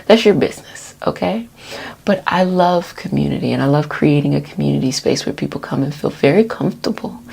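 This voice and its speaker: Husky, low-voiced woman giving wellness talk. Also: fairly quick, darkish tone. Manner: encouraging, reassuring, conversational asides. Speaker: host of self-care healing sessions. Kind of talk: casual spoken wellness talk for an ASMR healing session.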